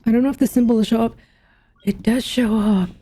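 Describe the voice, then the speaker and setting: A woman's voice: a little high, steady tone, a little fast, dark tone, breathy edge. A young woman giving casual let's-play commentary, musing aloud half to herself. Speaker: gaming streamer. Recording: game music behind the voice.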